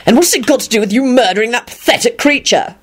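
A woman's voice: sits low, huge pitch swings, normal speed, vocal fry, a bit bright, slightly rough, full character voice. A woman voicing a blunt, sarcastic male wizard in a story, incredulous and exasperated, often muttering. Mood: angry.

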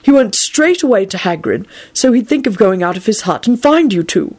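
Storybook kindly old wizard headmaster woman voicing him, delighted, a bit odd, lively intonation. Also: mid-range voice, normal speed, slightly rough, pitched above the performer's own voice. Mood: beaming. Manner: genial, rambling.

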